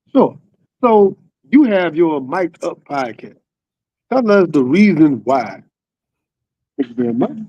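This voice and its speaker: A man's voice: fairly high pitch, a little mellow, no echo, low-quality recording. A man hosting a podcast in a casual, slangy interview style with familiar, hype-man phrasing. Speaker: podcast host.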